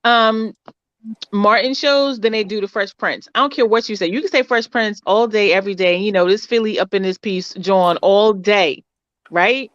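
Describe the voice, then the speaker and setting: A woman's voice: mid-range voice, moderate pace, no echo, lo-fi sound. A woman co-hosting a podcast, chatting and laughing, often repeating herself. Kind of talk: casual podcast chat.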